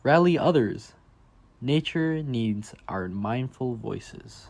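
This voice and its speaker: A man reads a children's picture book aloud at an unhurried, slow pace. His voice sits in a middle register, and he lifts it emphatically on key phrases for an expressive storytime delivery. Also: medium pitch, creaky. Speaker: reader for a children's reading program.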